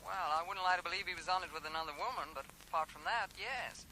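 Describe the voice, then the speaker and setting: A bright, quick-talking widow. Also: female voice, fairly low pitch, a little hiss or hum, rough recording. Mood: candid, puzzled. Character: chatty, candid widow answering questions on the phone. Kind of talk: 1980s radio drama acting.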